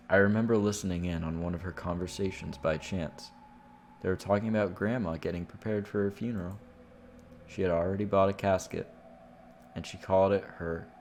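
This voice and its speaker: A man's voice: sits low, a little mellow, slight background noise. A man streaming a visual novel, reading the narration aloud with informal, casual asides.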